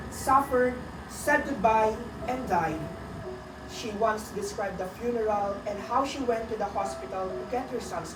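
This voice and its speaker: A woman narrating a radio drama in a deliberate, solemn storytelling manner, reading a dramatic script. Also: medium pitch, lightly acted. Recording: echoey, distant, very noisy.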